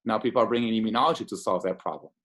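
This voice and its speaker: Enthusiastic man from Portugal, low voice. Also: racing, a bit bright. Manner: enthusiastic, many fillers and restarts. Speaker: immunologist guest. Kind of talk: casual interview talk.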